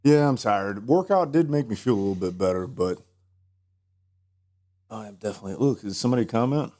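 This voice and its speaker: A tired man talking in a laid-back, low-key way as he plays guitar on a live stream. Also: expressive, brisk, warm tone. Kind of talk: casual chat.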